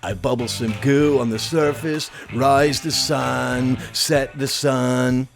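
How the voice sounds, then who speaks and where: A man's voice: mid-range voice, steady tone, takes it slowly, vocal fry, music in the background. A man acting a laid-back, easily led writer in an audio drama, casual and nonchalant. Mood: nonchalant, compliant. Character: laid-back, idle male writer, easily pushed around.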